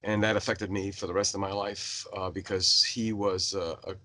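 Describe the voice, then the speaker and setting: Low-voiced man rambling with fillers. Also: flat delivery, moderate pace, pushed and bright. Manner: rambling, hesitant with many fillers. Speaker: host. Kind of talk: casual interview chat.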